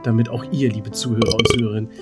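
A man's voice: lowish voice, flat delivery, rapid-fire, over music. A man chatting casually as co-host of a podcast. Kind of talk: casual chat.

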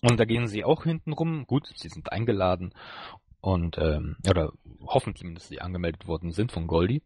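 A man co-hosting a podcast, casually reviewing an episode in a halting way as he searches for words. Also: deep voice, expressive, a little mellow, slightly rough, dry recording. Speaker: podcast co-host.

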